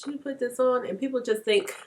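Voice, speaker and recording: A woman's voice: on the high side, fast talker, darkish tone. A congested woman with a stuffy nose, chatting casually through a makeup tutorial and laughing often. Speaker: beauty vlogger.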